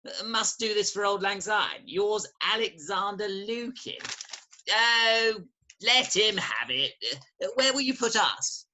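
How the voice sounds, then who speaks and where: A man playing a cocky, boisterous young gentleman in a Victorian farce reading, hearty and egging others on. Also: sits high, expressive, slow, slight background noise, full character voice. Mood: breezy. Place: recorded remotely at home over video call.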